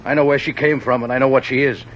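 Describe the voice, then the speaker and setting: An actor as a blunt, protective cab driver. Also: male voice, mid-range voice, fairly even intonation, quick pace, fairly bright tone, close to an everyday voice. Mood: bitter. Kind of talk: 1940s radio acting. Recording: hissy lo-fi old radio recording.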